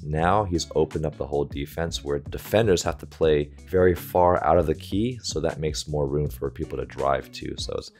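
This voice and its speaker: Man, extremely low voice, casual reaction commentary, thinking aloud, music behind. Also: darkish tone. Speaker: reaction video host, volleyball coach. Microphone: close desktop USB microphone.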